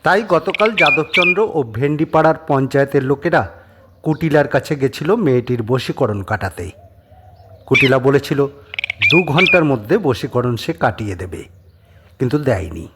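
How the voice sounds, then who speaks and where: A man's voice: medium pitch, animated, normal speed. A man narrating a scripted suspense story as a dramatic audio storyteller. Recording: clearly noisy background.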